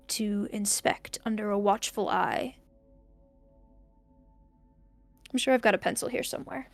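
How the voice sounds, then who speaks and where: A woman's voice: mid-range voice, vocal fry, no room sound, soft background music, natural voice. A young woman playing a lonely, bookish student recording a hesitant, reflective audio diary for an audio drama. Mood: quietly moved. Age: young adult. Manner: halting, reflective, trailing off. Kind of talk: intimate audio diary monologue.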